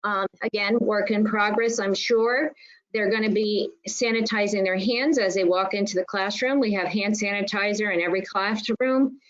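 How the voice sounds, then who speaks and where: A woman's voice: mid-range voice, steady tone, moderate pace. A woman speaking informally as a nurse on an online panel, explaining things in an emphatic way. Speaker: school nurse coordinator on a panel. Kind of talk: informal spoken presentation and discussion in an online meeting. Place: online meeting call. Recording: dull, muffled online meeting audio.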